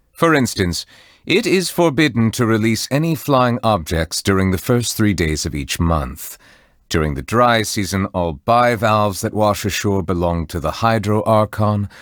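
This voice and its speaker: A male voice actor playing a solemn, refined judge of otherworldly nature in a video game, speaking in a formal, measured, eloquent manner. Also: fairly low pitch, dry recording, clean studio sound. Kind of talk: scripted game character voice lines.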